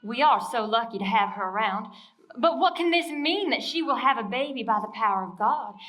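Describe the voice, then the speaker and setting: Guy doing a high wistful old girl donkey, sorry for herself, storybook puppet show style. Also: expressive, brisk, pushed and bright, full character voice. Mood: puzzled, earnest. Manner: plaintive, earnest. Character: wistful, self-pitying aging female donkey. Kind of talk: children's storybook character voice.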